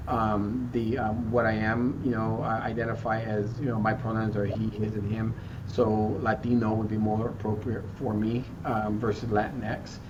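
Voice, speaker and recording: A man from South Texas answering interview questions thoughtfully, often repeating words and pausing with "um" as he searches for the right term. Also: fairly low pitch, little pitch movement. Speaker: school district equity chief. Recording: muffled, distant, faint background noise.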